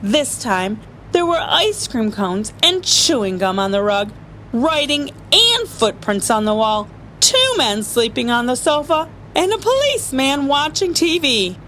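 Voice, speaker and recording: A woman's voice: sits high, sing-song, measured pace, a bit bright, slight background noise. A woman reading a children's picture book aloud as a story-time reader, playful and often chuckling.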